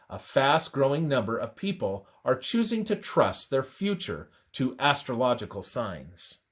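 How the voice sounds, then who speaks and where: A man reading a scripted religious narration aloud in a deliberate way, halting between short phrases. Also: medium pitch. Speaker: reader of a religious presentation.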